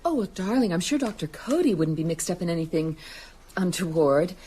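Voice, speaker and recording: An actress playing an anxious, genteel wife who speaks in a hesitant, soothing and polite way in a radio drama. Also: female voice, fairly low pitch, lively intonation, a little fast, warm tone, husky. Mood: anxious, placating.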